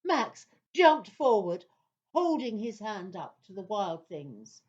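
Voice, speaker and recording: A woman's voice: medium pitch, very animated, slow, a little mellow, lo-fi sound. A woman narrating a children's story in a theatrical, suspenseful style.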